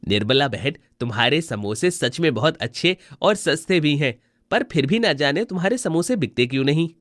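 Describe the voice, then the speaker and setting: A man's voice: on the high side, lively intonation, moderate pace, fairly bright tone, no room sound, clean studio sound. A man voicing a friendly, helpful village customer who gives neighbourly advice in storybook dialogue. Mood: friendly, advising. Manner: advising, neighbourly.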